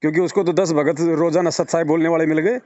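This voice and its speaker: Male, fairly high voice, argumentative and insistent, dull phone-call recording. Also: fairly even intonation, fast, mellow, no echo. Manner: argumentative, rhetorical questions, repetitive emphasis. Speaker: religious devotee speaking to a follower. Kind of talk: persuasive phone conversation.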